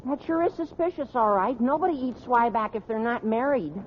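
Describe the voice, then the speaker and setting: Squeaky nosy teen boy, cracking high and swoopy, old radio comedy, hissy. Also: expressive, fairly quick. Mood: nosy, suspicious. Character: eager, squeaky adolescent high school boy. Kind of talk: 1940s-50s radio sitcom acting. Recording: muffled, noisy vintage radio recording.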